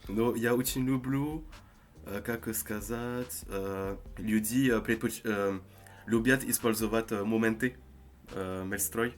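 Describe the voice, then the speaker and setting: Young man, low-ish voice, halting learner on a webcam mic. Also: fairly even intonation. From France. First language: French. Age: 20s. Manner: halting, hesitant with many fillers. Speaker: language learner on a video chat. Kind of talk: casual video chat in a learned language.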